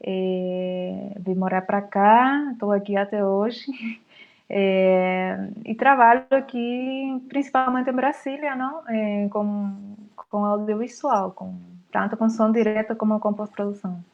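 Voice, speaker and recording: A Cuban woman talks easily but hesitantly, filling gaps with small sounds and ending lines with a questioning tag. Her Spanish accent colours her Portuguese. The audio is dull and lo-fi, as on a video call. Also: medium pitch. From Cuba. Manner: hesitant, fills pauses with "é" and tag "não?". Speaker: sound technician guest. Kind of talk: casual interview chat.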